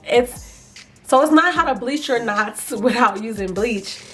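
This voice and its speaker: Chatty, raspy, high-pitched woman vlogger. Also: lively intonation, unhurried, faint music behind. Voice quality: slightly raspy, froggy. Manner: chatty, informal, playful asides. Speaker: vlogger reviewing wigs. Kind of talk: casual chat to viewers, beauty review.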